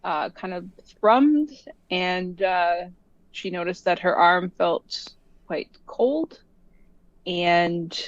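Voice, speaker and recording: A chatty player rambles through their character's actions with plenty of fillers. The delivery is slow, and the recording sounds dull and dry, as if from a lo-fi mic. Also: medium pitch, lively intonation, no echo. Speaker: tabletop game player.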